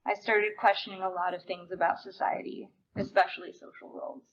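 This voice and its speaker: A woman giving an expressive, emphatic dramatic reading of book excerpts at a live event. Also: medium pitch, fairly quick. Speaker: theater reader. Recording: dull recording with clear background noise.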